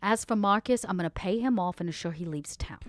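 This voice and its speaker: A woman's voice: mid-range voice, fast talker, no room sound, lightly acted. A woman playing a shrewd, protective vampire fixer in improvised role-play, with a folksy, slangy, coolly threatening manner. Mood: coolly menacing.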